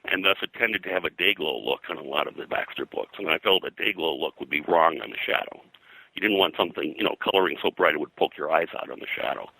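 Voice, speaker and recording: An older man with a very low voice and an extremely bright, hard-edged tone. He talks as a veteran interview guest, explaining and reminiscing in a discursive way, often rephrasing as he goes. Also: quick pace, vocal fry, breathy edge, no echo, rough recording. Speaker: interview guest, veteran comic book colorist.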